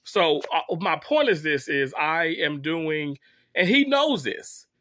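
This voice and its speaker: A man in his thirties with a high, bright voice, fighting a cough, rambling through a casual story for his viewers. He sounds exasperated and restarts his sentences constantly. The sound is dry and close. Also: very animated, takes it slowly, no echo. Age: 30s. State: sick with a cough. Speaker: vlogger telling a story. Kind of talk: casual story time monologue.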